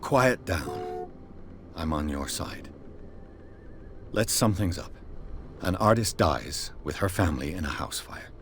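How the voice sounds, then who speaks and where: A man playing a curt, world-weary private detective with a gruff, deadpan delivery in a video game. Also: very deep voice, slow, long pauses, a little hiss or hum, exaggerated voice. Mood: resigned, brooding. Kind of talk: dramatic video game dialogue.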